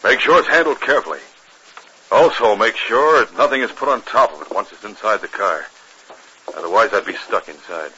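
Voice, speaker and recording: Bossy, sharp-voiced western outlaw boss. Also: male voice, on the low side, normal speed. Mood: giving orders. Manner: commanding, impatient. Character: bossy, scheming male outlaw gang leader in a Western. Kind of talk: 1950s radio western acting. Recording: noisy, muffled 1950s radio recording with clicks.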